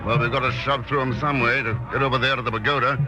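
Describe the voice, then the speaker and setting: An actor playing a steady, decisive adventurer in a 1940s radio drama, with a clipped, commanding manner. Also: male voice, mid-range voice, fast, lightly acted. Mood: brisk, commanding. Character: steady, decisive male adventurer taking charge in danger. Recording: muffled vintage radio broadcast with music.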